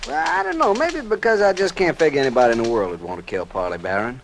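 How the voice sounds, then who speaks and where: A man's voice: mid-range voice, animated, fast talker, close to an everyday voice. Man as earnest radio police sergeant, faint noise. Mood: earnest, puzzled. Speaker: actor in a radio drama. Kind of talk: 1950s radio detective acting. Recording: faintly noisy vintage radio recording.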